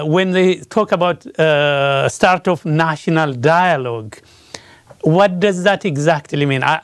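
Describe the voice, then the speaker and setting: A man's voice: fairly high pitch, smooth, no room sound, clean studio sound. Male interview host. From Ethiopia. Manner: hesitant, many fillers. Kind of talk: interview questions.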